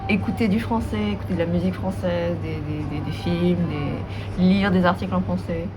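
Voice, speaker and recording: A woman's voice: fairly low pitch, moderate pace. A woman answering interview questions, hesitant with stuttering repeats. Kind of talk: casual street interview answers. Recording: music behind.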